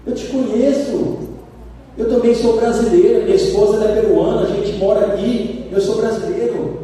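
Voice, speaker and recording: A man with a Brazilian accent preaching a sermon, telling stories with lively acted-out quotes. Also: high-pitched, normal speed, distant mic. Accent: Brazilian Portuguese. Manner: animated storytelling, acts out quoted voices. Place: church sanctuary. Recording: roomy church hall from afar.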